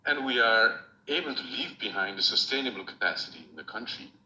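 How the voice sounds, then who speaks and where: Nepali-accented man, bright forceful voice. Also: lowish voice, lively intonation. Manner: measured and formal, grateful. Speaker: award recipient giving an acceptance speech. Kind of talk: prepared acceptance speech. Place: room at home, recorded remotely. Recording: roomy, dull lo-fi with faint hiss.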